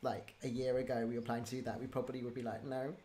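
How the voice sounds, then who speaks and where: A woman's voice: very deep voice, level tone, rapid-fire, a loud crowd. A woman hosting a casual interview, talking informally and rambling as she interrupts herself. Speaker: interviewer, podcast creator. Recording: clear ambient background noise.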